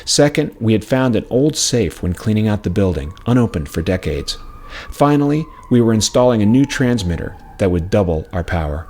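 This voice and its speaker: A man reading a scripted personal memoir in a measured, reflective storytelling way. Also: on the low side, sing-song, darkish tone. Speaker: narrator of own memoir, former radio station worker. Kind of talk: scripted narration. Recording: music playing behind the voice. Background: plucked acoustic string music.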